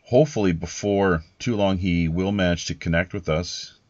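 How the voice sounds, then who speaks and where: A man hosting a podcast with deliberate, measured delivery and formal, announcer-like phrasing. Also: deep voice, a little mellow, no echo, lo-fi sound. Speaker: podcast host.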